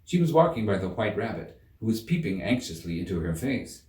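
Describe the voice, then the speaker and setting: A man narrates a storybook with hugely varied, animated intonation. His mid-pitched voice carries noticeable room echo and sits slightly back from the mic. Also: fairly quick, far from the mic. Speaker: audiobook reader. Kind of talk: expressive scripted storybook narration.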